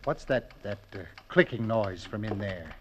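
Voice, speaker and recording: A man's voice: lowish voice, expressive, moderate pace, lo-fi sound, noisy background, natural voice. A man playing a jittery anxious homeowner. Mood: uneasy. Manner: nervous, rising to agitation. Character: anxious male homeowner, easily excited. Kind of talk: 1940s radio acting.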